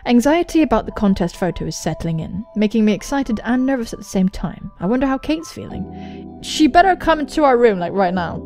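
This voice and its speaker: A young woman hosting a let's play, reading a visual novel aloud and commenting on it with excitable, sweary exclamations. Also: fairly high pitch, brisk. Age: young adult. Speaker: gaming video host. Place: indoor room at home, on webcam. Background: game soundtrack music.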